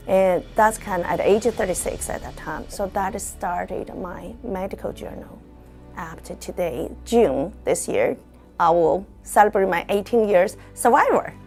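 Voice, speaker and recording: A woman's voice: medium pitch, measured pace, a little mellow, faint music behind. A woman, giving a personal testimonial. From outside Canada, moved there as an adult. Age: 50s. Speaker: insurance agency owner.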